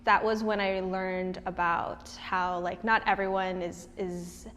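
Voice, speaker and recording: A woman's voice: on the low side, little pitch movement, takes it slowly, a bit bright, slight background noise. A young woman from Hawaii speaking in a documentary interview, talking conversationally with many fillers and restarts. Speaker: tech mentor and interviewee. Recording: long reverb.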